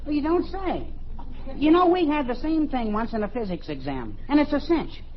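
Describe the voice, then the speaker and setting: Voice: high voice, big pitch swings, normal speed, slight background noise. A performer playing an eager, chatty, know-it-all teenage boy in a 1950s radio sitcom, on a muffled old recording. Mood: cocky.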